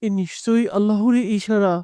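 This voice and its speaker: A woman voicing a poor, gentle, devout blind father in an earnest, humble manner for an animated moral story. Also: mid-range voice, brisk, smooth, no room sound, clean studio sound, natural voice. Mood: amazed, devout.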